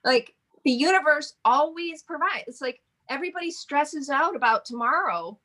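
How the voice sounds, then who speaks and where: Bright-voiced chatty woman in her forties. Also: high voice, lively intonation, normal speed. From Michigan. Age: 40s. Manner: chatty, rambling, laughs often. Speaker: interview guest, modeling agency owner. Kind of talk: casual interview chat.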